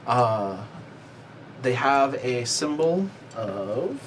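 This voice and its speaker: A man's voice: mid-range voice, sing-song, extremely slow. A man running a tabletop game as game master, narrating with playful laughs and many fillers. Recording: noisy room recording.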